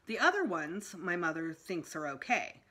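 Very animated middle-aged woman reading aloud. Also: fairly low pitch, normal speed, husky. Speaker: school storytime reader. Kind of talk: expressive children's book read-aloud. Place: small room indoors at home.

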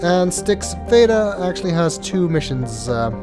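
A man talks casually through his gameplay, his voice stuffy and congested, often pausing with ums and uhs. Faint music plays under his talk. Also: high-pitched, very animated. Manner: hesitant, with many ums and uhs. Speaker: let's play host.

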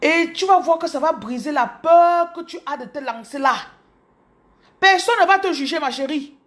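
Cameroonian woman in her thirties, higher voice, animated phone vlog to followers. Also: moderate pace. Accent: Cameroonian French. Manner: animated, repeats words, addresses viewers directly. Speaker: business coach. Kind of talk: casual motivational storytelling. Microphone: phone camera held close.

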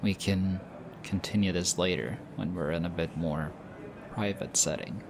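A young man voicing an affectionate, teasing boyfriend in an audio roleplay, speaking softly and hushed. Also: deep voice, unhurried, creaky. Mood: tender, teasing. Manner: soft, hushed, teasing with light laughs. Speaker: voice actor. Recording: steady ambient background noise. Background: busy airport ambience.

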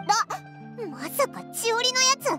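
A woman voicing a tiny, excitable, childlike companion creature in a Japanese game, blunt and boyish in speech. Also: unhurried, full character voice. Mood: frustrated. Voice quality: squeaky, childlike. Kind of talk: Japanese anime-style game acting. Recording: loud music under the voice.

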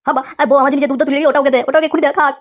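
A woman's voice: high-pitched, little pitch movement, racing, close to an everyday voice. A woman voicing a lazy, whiny schoolboy rascal in a comic cartoon, pleading and full of exclamations. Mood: demanding, content.

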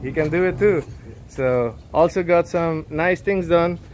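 Higher-voiced man vlogging outdoors. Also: measured pace, a little mellow. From Aruba. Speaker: car vlogger, host. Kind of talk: casual vlog chat. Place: outdoors at open garage and yard. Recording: outdoor ambience, light background noise.

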